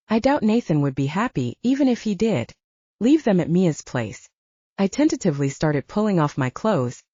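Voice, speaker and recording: A woman's voice: fairly low pitch, warm tone, no room sound, muffled recording. A woman narrating scripted first-person fiction as an audiobook reader.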